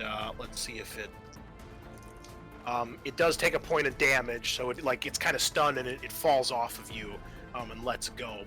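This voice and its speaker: A man acting as game master, improvising narration in a halting, colloquial way with some swearing. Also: medium pitch, bright, forceful tone.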